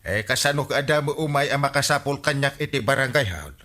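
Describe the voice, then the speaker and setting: An actor with an Ilocano accent playing a breezy village official who reassures and orders people around in a radio comedy. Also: male voice, medium pitch, lively intonation, quick pace, pushed and bright. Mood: exasperated, bossy.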